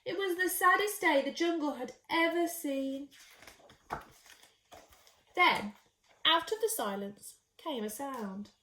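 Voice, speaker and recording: High, very animated woman storyteller. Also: takes it slowly, pauses often, slight background noise. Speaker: storyteller reading to young children. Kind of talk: expressive picture-book narration. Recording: echoey, roomy sound.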